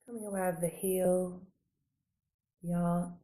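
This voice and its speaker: A woman with a deeply mellow, slightly low voice leads a guided body scan. She speaks calmly and gently, without hurry. Her soothing instructions are spaced out with long pauses. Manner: calm, unhurried, gentle phrasing with long pauses. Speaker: meditation guide.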